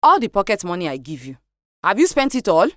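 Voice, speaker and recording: A woman's voice: medium pitch, very animated, a little fast, fairly bright tone, dry recording, studio quality, voice pushed up. A woman voicing her strict, thrifty mother, who scolds her children in a raised voice. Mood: scolding.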